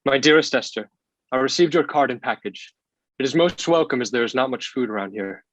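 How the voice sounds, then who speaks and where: A man's voice: medium pitch, brisk, a bit bright, no room sound, natural voice, rough recording. A man acting a loving wartime husband, tenderly reading out a letter home in a play reading. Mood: affectionate.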